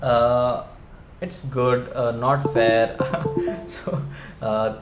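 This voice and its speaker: A man's voice: medium pitch, fairly even intonation, very slow, music in the background. A man teaching a live online coding class, chatty and full of fillers and restarts. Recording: roomy with faint background hum.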